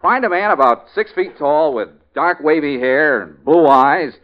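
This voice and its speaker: An actor playing a brash, boastful but soft-hearted male investigator in 1940s radio comedy-drama style. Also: fairly high pitch, measured pace. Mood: exasperated. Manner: brash, animated, self-important. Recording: dull old-time radio recording.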